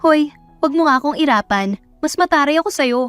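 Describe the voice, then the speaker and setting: A teasing, feisty young gal pal from a romance audio drama, acted by a woman narrator. Her high voice banters and scolds playfully. It is recorded dry over soft music. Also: expressive, a little fast, no room sound. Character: teasing, feisty young female best friend. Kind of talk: audio romance story character voice.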